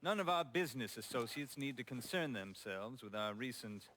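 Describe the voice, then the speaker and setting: Actor as cold, precise mob underboss, swooping pitch. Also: male voice, animated, moderate pace, a bit bright, slight background noise, close to an everyday voice. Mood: controlled, placating. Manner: formal, precise, clipped phrasing. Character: cold, fastidious male mob underboss, controlled and menacing. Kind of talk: video game cutscene acting.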